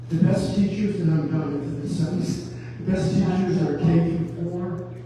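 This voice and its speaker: A man's voice: high-pitched, fairly even intonation, a little slow, mellow. A man introducing himself as a school board candidate at a public forum, talking in an effusive, rambling way that jumps from thought to thought. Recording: echoey hall from far off, faint hum.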